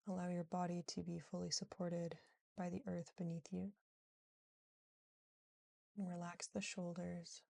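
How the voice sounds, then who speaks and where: A woman guiding a yoga nidra relaxation in a soothing, gentle, unhurried manner with long pauses. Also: on the low side, vocal fry, no room sound. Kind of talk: guided relaxation meditation.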